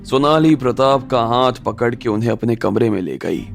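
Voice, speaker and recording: A male narrator reads a scripted dramatic story. His voice sits at a medium pitch. Faint music plays behind the narration. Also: lively intonation, normal speed, vocal fry.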